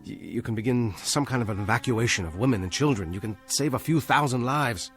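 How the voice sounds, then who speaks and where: A man's voice: medium pitch, a little fast, fairly bright tone, lightly acted. A man playing an earnest, intense American with a clipped, guarded way of speaking and an American accent, in vintage television drama style. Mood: urgent. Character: earnest, urgent American man carrying a grave warning. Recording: old TV soundtrack with music. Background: dramatic music.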